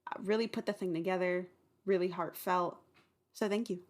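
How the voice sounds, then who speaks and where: A woman's voice: fairly low pitch, fairly even intonation, moderate pace. Upbeat, chatty woman podcast host. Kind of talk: casual outro chat. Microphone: home recording.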